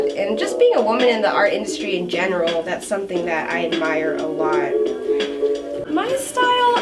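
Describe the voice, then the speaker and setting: Chatty young woman over loud music. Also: a little high. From Japan. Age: around 20. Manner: chatty, laughs often. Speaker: tattoo artist. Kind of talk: casual interview answers.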